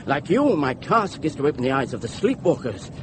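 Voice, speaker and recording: An actor playing a fiery, defiant pamphleteer, declaiming with scorn and suspicion in a theatrical drama style. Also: male voice, on the high side, big pitch swings, fairly quick, background noise. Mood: fervent, persuasive. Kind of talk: theatrical dramatic monologue and dialogue.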